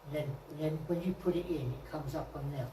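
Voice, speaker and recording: A man giving informal spoken instructions on software to others in a group. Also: a little high, fairly even intonation, fairly quick. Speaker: club member giving software tips. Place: indoor club meeting room. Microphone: distant room microphone. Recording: echoey room, distant mic, clear noise.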